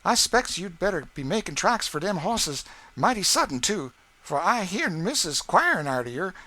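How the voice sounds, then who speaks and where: A man's voice: high voice, sing-song, moderate pace, pushed and bright, pitched above the performer's own voice, heavily acted. A man voicing a young male servant in an audiobook, urgent, with a broad rural dialect. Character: brisk young male servant boy, hurrying a friend.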